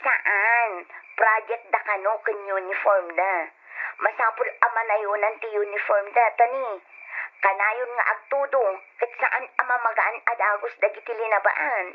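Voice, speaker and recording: A demanding, coaxing radio drama wife, speaking in a fairly high voice. She comes through a thin telephone line with faint hiss. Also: steady tone, unhurried. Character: demanding wife smoothly asking her husband for money. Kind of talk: old-style radio drama acting.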